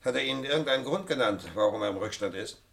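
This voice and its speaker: An actor playing a sceptical police sergeant in a German radio crime drama, terse, sardonic and probing. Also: male voice, medium pitch, lively intonation, fast, creaky, a bit bright. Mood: businesslike. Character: dry, sceptical male police sergeant questioning suspects.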